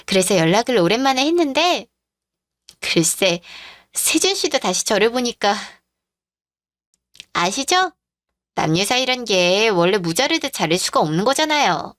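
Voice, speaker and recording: Woman doing a smug mistress, high sugary voice, shameless and talking down. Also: very animated, normal speed, pauses often, fairly bright tone, dry recording, clean studio sound. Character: brazen smug young mistress.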